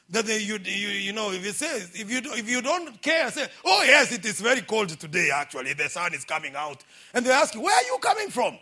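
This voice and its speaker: A middle-aged man with a Kenyan accent, preaching a sermon in a lively, exhorting way and calling out for amens. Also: sits high, husky. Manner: lively, exhorting, calls out for amens, quotes scripture.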